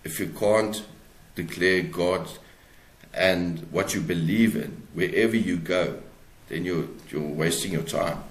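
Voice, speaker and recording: A South African man speaking as an interviewed author, explaining his work haltingly and searching for words. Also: sits low. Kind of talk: conversational interview, explaining own book. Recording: roomy, echoey sound.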